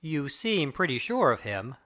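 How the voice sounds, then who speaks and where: Man acting middle-aged gentleman lawyer, Victorian audiobook drama, grave, formal, questioning, expressive intonation. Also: fairly high pitch, unhurried, full character voice. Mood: grave, probing. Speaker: audiobook cast reader. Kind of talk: dramatic reading of Victorian fiction.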